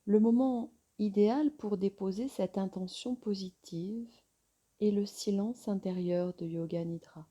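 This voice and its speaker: A woman yoga teacher guiding a relaxation meditation in a soft, soothing, breathy voice with long pauses. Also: mid-range voice.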